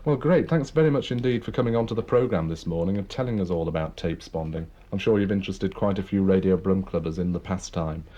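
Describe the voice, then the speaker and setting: Mellow-voiced radio host, lo-fi tape. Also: male voice, low voice. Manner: brisk, chatty presenter reading out birthday lists. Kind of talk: radio interview and announcements. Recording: dull old reel-to-reel radio recording.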